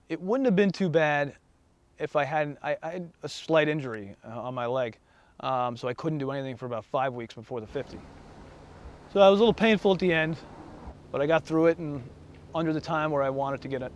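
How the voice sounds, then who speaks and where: A man with a somewhat high voice speaks as an interviewee. He goes haltingly, repeating words, with faint noise around him. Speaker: interviewee, charity runner. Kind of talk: unscripted interview account.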